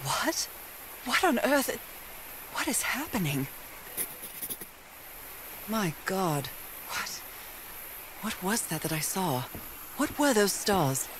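Expressive, anxious 1930s lady explorer. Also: mid-range voice, slow pace, long pauses, background noise. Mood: bewildered awe. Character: inquisitive, anxious 1930s woman explorer on a mysterious island. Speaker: video game protagonist. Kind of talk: dramatic video game voice acting, thinking aloud. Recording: game ambience and effects behind voice.

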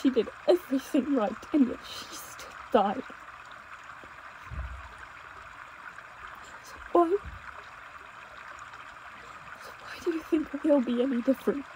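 Casting: woman as devoted young runaway pastor's daughter, audio drama; slightly high, tender, emotive voice over faint water ambience. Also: animated, brisk, a little mellow, a little hiss or hum, low-quality recording. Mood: grieving. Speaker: audio roleplay performer. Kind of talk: intimate dramatic audio roleplay acting. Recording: nature ambience with trickling stream water. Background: outdoor ambience sound effects, running water.

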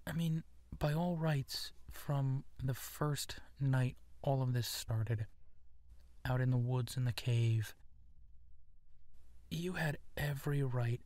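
Man acting as young male werewolf, slow pace, soft-spoken, hesitant, insecure, earnest, intimate ASMR drama. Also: medium pitch, no room sound, close to an everyday voice. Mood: troubled, disbelieving. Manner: hesitant, halting, soft-spoken with breathy whispers.

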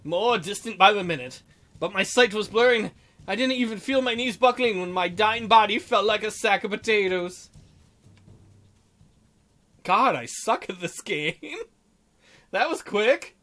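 A man's voice: high voice, animated, a little slow, long pauses, sharp tone. A man hosting a game playthrough, reading the story aloud and adding goofy, crude joking asides. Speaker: gameplay host reading a visual novel aloud.

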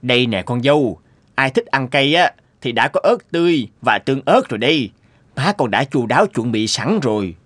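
A man voice-acting family men and bosses in an animated moral tale. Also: medium pitch, normal speed. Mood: warm, conciliatory.

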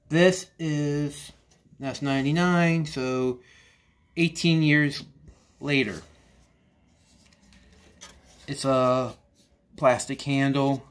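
A man in his forties reviewing hand saws in a cluttered workshop, talking slowly and rambling with restarts. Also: fairly high pitch, fairly bright tone. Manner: slow, rambling, with frequent restarts and "you know". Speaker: woodworking channel host. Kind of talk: casual workshop tool review. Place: cluttered indoor workshop or basement.

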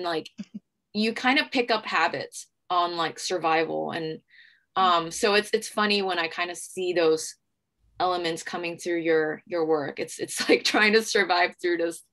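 A young woman moderates a casual live online talk from home. Her voice is very bright and sits a little low. She feels her way through sentences, hesitant and self-effacing. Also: normal speed. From Hong Kong. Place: small room at home. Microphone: video call.

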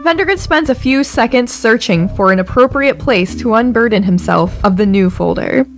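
A woman's voice: on the high side, expressive, moderate pace, soft background music. A woman narrating a scripted audio drama in a wry storytelling style.

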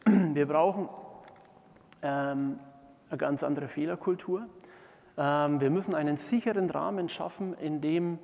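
Man, middle-aged, slightly high-pitched, gravelly creak, halting keynote delivery with pauses. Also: darkish tone. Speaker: keynote speaker, management consultant. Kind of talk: conference keynote presentation. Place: large indoor conference hall, on stage before seated audience. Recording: reverberant conference hall.

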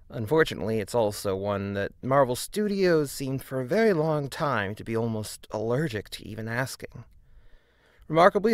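A man delivers a scripted essay as narrator. His voice sits at an average pitch and he argues his case deliberately, often turning to rhetorical questions. The sound is close and dry. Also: no room sound. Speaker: video essayist.

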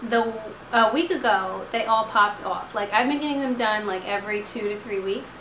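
A woman in her twenties, slightly hoarse from a lost voice, chatting casually to camera from her couch with lots of fillers. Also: medium pitch, normal speed, a bit bright, roomy sound, a little hiss or hum, rough recording. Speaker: vlogger. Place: living room couch at night. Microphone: camera at close range.

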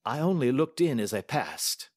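A man's voice: mid-range voice, big pitch swings, moderate pace, a little mellow, breathy edge, voice pushed up. A man reading aloud and voicing a middle-aged male detective in a precise, formal, assured manner. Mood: brisk.

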